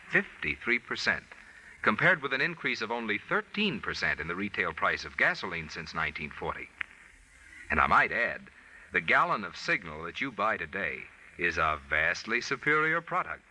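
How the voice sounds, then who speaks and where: Male sponsor announcer, lowish and piercingly bright, emphatic sell, lightly noisy vintage radio. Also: big pitch swings, moderate pace. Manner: emphatic, polished salesmanship. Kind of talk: 1940s radio commercial announcing. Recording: vintage 1940s radio recording, faint noise.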